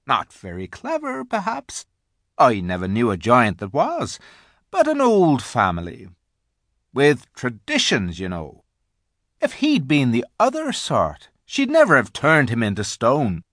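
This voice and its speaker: A man's voice: medium pitch, wildly swooping pitch, unhurried, fairly bright tone, dry recording, clean studio sound, voice pushed up, heavily acted. A man voicing a courteous, chatty faun in a storybook, confiding and a little snobbish.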